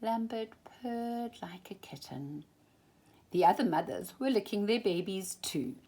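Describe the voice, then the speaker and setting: A woman storyteller reads a children's picture book aloud. Her pitch is medium but swings widely, and she goes slowly, leaning on and stretching key words for expression. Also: lively intonation, dark tone, husky. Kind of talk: animated children's storybook reading.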